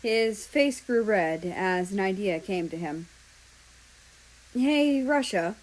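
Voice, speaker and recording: A woman's voice: mid-range voice, unhurried, poor audio. A woman narrates a story in a mid-range voice. She reads expressively, as if telling a tale from a book. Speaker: fan fiction reader.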